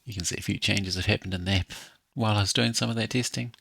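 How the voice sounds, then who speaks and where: A man with a heavily fried, husky voice talks through a coding session in a casual, think-aloud way. He takes his time, as if half speaking to himself. The sound is close and dry. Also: fairly low pitch, steady tone, fast talker, bright, forceful tone, no room sound. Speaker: app developer hosting a coding session. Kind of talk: casual think-aloud screen-recording tutorial.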